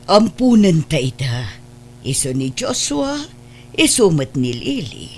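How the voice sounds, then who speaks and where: A woman's voice: sits low, big pitch swings, takes it slowly, a little mellow, slight background noise, exaggerated voice. A woman playing a loving, hopeful, well-off adoptive mother in an Ilocano audio drama, with an Ilocano accent. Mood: pleading, hopeful.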